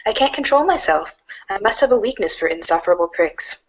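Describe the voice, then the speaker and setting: Fast-talking sardonic teen, played by a woman. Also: medium pitch, lively intonation, lightly acted. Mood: dry, teasing. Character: dry, sardonic teenage friend in online chat. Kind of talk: dramatized chat reading. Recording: dull lo-fi audio.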